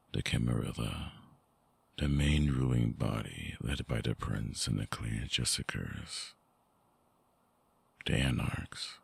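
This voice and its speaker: A man playing a brooding, vulnerable vampire ex-lover in an intimate ASMR audio drama, hushed and halting, with a breathy, husky, gravelly voice close to the mic. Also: rumbling low, no echo, exaggerated voice. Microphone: close binaural microphone, dry.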